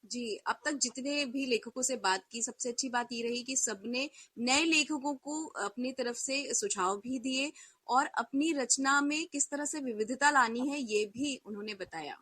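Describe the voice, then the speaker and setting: A woman's voice: high voice, a little slow, pushed and bright, no echo. A woman co-hosting a live online show in casual conversation. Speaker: co-host.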